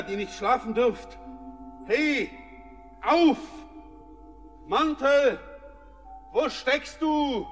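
An actor playing a restless, haunted young miner in darkness, in a theatrical poetic radio drama over eerie music. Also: male voice, extremely high pitch, a bit bright, exaggerated voice. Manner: theatrical, urgent calls and awed murmurs.

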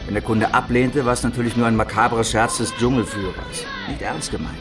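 An actor playing a cynical informant in a radio drama, speaking tersely and matter-of-factly with a sardonic edge. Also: male voice, medium pitch, steady tone, a little fast, music in the background, close to an everyday voice. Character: cynical male informant on jungle crimes.

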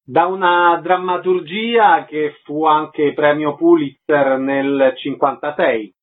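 A man's voice: a little high, steady tone, slow pace. A man putting prepared questions as a radio interviewer. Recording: thin phone-like narrow-band sound.

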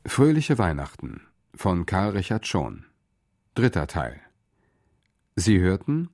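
A man's voice: low-pitched, darkish tone. A man reading scripted credits as a radio drama announcer.

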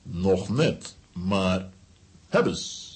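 A man's voice: sits low, lively intonation, extremely slow, exaggerated voice. An actor playing a big, terse strongman who talks in clipped phrases, in a children's radio play. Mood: straining. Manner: clipped telegram-style phrases without verbs.

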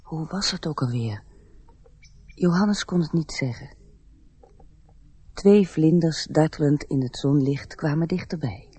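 Husky melancholy storyteller. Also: female voice, deep voice, warm tone. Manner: measured, reflective. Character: gentle, melancholy storyteller of a fairy tale. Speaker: narrator. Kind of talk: scripted radio play narration.

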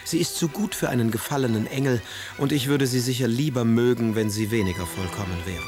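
An actor playing a witty, melancholy young poet in a radio drama, reading letters and verse in an ironic, theatrical manner. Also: male voice, mid-range voice, fairly quick, a little mellow, soft background music, lightly acted. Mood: ironic, arch.

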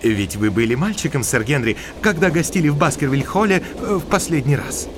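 An actor playing a talkative, learned country doctor with a scholarly, discursive manner in an audio drama. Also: male voice, fairly high pitch, lively intonation, fairly quick, background noise, lightly acted. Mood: pedantic, enthusiastic.

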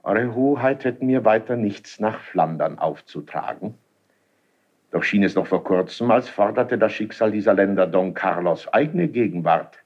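An actor playing a proud, stern older duke and general in a classical drama, speaking formally and declamatorily in a husky voice. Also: male voice, fairly low pitch, lively intonation, normal speed, a little mellow, muffled recording. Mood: stiffly courteous. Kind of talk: classical German stage drama acting.

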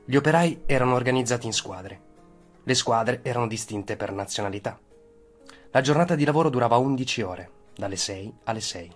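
Male storyteller, low voice, scripted dramatic historical narration, soft music in background.

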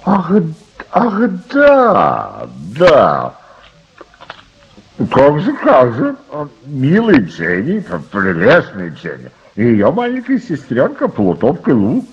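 An actor playing an absent-minded, obsessive older uncle who stargazes, preoccupied and halting, repeating his words in radio drama style. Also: mid-range voice, wildly swooping pitch, breathy edge, heavily acted. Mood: brooding. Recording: dull, slightly noisy archive radio recording.

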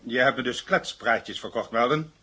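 A gruff, stubborn police inspector questions with stern disbelief. His voice is tense and raspy, in vintage 1970 radio drama style. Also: mid-range voice, normal speed, bright, forceful tone. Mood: skeptical, stern. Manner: stern, interrogating, skeptical.

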